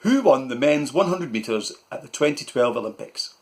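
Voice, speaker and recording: A man's voice: medium pitch, expressive, normal speed, creaky. A man voicing a blustering, self-important middle-aged uncle who barks questions. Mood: pompous. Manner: loud, barking, self-important.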